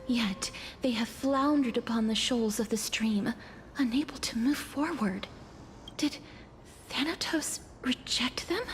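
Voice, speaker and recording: A woman voicing a gentle, solemn young maiden, soft and breathy, with formal, measured phrasing. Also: fairly high pitch, slight background noise, close to an everyday voice. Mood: pensive. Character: gentle, solemn young maiden who eases souls into death. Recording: game music behind the voice.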